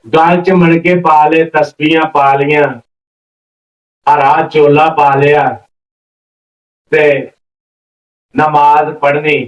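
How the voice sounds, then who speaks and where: High-pitched storyteller man, echoey. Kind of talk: informal spoken folk storytelling. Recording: roomy, distant, slightly dull mic.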